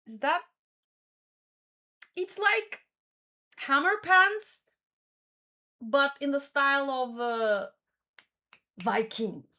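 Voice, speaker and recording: A woman hosting a read-along in a casual live commentary style, with expressive, exclamatory reactions. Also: sits high, drawn-out words, pauses often. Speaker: host of a manga read-along stream.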